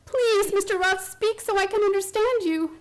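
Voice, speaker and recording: A woman's voice: very high voice, moderate pace. An actress playing an obsessed, frantic woman hospital patient who tells her strange story in a radio play, intense and theatrical. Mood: pleading, urgent. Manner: intense, haunted, urgent, theatrical. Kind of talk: radio play acting, first-person dramatic narration.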